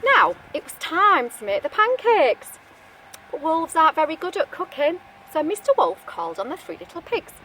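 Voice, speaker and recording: Animated high-pitched woman reading a storybook. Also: normal speed, slight background noise, low-quality recording. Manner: expressive, chatty asides and questions to child listeners. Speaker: storybook reader, teacher-like. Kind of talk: reading a picture book aloud to young children.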